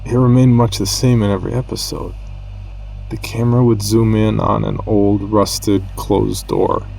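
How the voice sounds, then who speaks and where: Low, gravelly male horror narrator. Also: flat delivery, dark tone, a little hiss or hum, poor audio. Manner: measured, grave, dramatic pauses. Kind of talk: scripted horror story narration.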